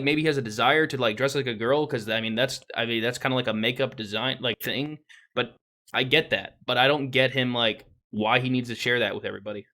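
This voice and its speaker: A young man talks over a casual podcast chat with a bright, forward tone. He is blunt and opinionated and swears freely, often restarting his sentences as he hunts for his point. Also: mid-range voice. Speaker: podcast co-host. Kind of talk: casual anime review chat.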